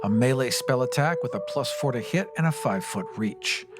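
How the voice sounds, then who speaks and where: A man playing a tabletop game, chatting casually and improvising story in an expressive way. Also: medium pitch, brisk, over loud music.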